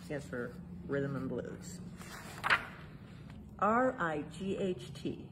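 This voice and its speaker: Slow, low-voiced woman reading to children. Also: lively intonation, very noisy. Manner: spells out page titles letter by letter, then explains gently to children. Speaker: museum storytime reader. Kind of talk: children's read-aloud with explanations. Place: indoor museum exhibit hall.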